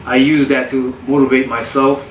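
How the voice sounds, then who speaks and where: Relaxed man, roomy distant sound. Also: mid-range voice, moderate pace, slight background noise. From South Florida. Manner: relaxed, candid storytelling with frequent restarts. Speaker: personal trainer and bodybuilder. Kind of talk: casual podcast interview. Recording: roomy, distant mic with faint ambience.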